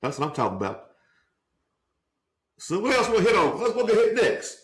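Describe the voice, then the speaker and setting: A man co-hosting a casual podcast chat, playful and upbeat, quick to laugh. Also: sits high, wildly swooping pitch. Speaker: podcast co-host.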